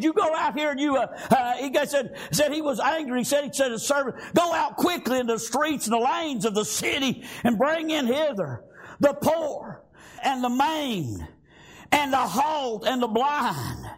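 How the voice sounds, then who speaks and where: A man preaching a fiery revival sermon in a church, shouting and dotting his lines with "praise God" and "amen.". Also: very high voice.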